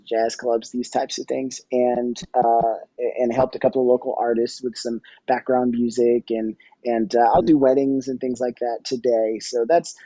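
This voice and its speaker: A middle-aged man speaking reflectively in a conversational interview as a podcast guest, often halting and repeating his words. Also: medium pitch, mellow, no room sound, muffled recording.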